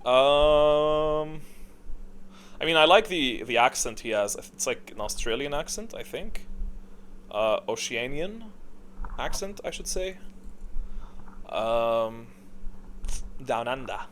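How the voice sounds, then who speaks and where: Guy doing casual gaming commentary, medium pitch, rambles and second-guesses himself, bit tongue-in-cheek. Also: expressive, takes it slowly, pauses often, fairly bright tone, a little hiss or hum. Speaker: host of a gaming tier list video.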